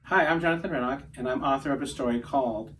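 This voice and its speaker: A man's voice: mid-range voice, quick pace, fairly bright tone. A man, an author, casually introducing his own story. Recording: distant mic with faint noise.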